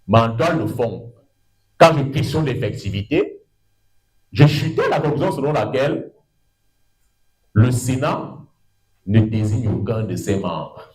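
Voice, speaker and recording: A middle-aged man with a Cameroonian French accent giving a university law lecture, lively and digressive, his pitch leaping up for emphasis and his words drawn out. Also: measured pace, long pauses, low-quality recording. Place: lecture room.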